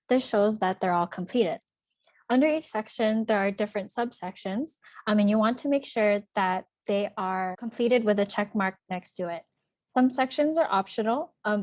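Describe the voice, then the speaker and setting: A woman's voice: medium pitch, darkish tone. A woman presenting for a college outreach office, narrating a scripted tutorial with plain step-by-step instructions.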